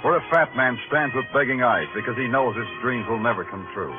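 An actor playing a world-weary, hard-boiled New York police detective in terse, streetwise 1950s radio noir style. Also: male voice, mid-range voice, lively intonation, fairly quick, narrow, tinny audio, lightly acted. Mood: brooding, poetic. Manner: terse, clipped, streetwise delivery. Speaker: lead actor and narrator. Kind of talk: 1950s radio crime drama acting, noir narration. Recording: band-limited old radio recording with music.

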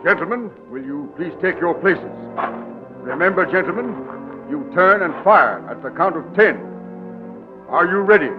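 A grave, formal gentleman in old radio drama style. He is fairly high-voiced with very animated intonation. The sound is muffled. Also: moderate pace. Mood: commanding. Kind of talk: 1940s radio drama acting. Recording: muffled 1940s radio recording with music.

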